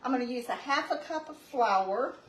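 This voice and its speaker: A woman's voice: sits high, lively intonation, moderate pace. A woman hosting a home cooking video, chatting casually and rambling through the steps in her kitchen. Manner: chatty, rambling, homey asides. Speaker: home cooking channel host. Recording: roomy, distant, noisy home recording.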